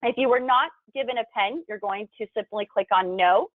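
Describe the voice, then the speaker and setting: Higher-voiced woman narrating, thin phone-like sound. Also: brisk, no echo. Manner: clear step-by-step explaining. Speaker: tutorial presenter. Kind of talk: instructional video narration. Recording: thin phone-like band-limited sound, dry.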